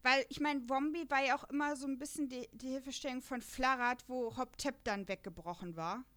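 A woman's voice: high-pitched, brisk, fairly bright tone. A chatty, enthusiastic woman co-hosting a podcast, talking casually about an audio drama and often breaking into laughter. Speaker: podcast co-host.

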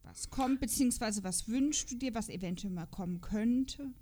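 A woman's voice: on the high side, normal speed, warm tone, a little hiss or hum. A woman hosting a podcast, chatting informally and casually about audio dramas. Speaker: podcast host.

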